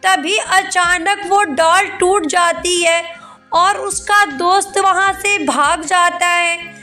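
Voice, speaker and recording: A woman's voice: extremely high pitch, steady tone, takes it slowly, sharp tone, faint music behind. A woman narrating a scripted children's cartoon story.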